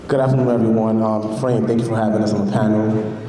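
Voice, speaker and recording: A man from Honduras, raised in the Bronx, introducing himself on a panel in a relaxed, proud way. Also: fairly low pitch, fast, slight background noise. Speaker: panel guest, fashion designer. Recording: distant, roomy hall.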